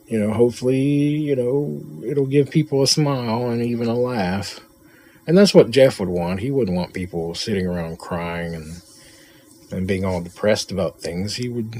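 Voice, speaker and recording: A man giving a halting, heartfelt spoken tribute as host of an audio drama company, with many pauses and restarts. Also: lowish voice, mellow, breathy edge.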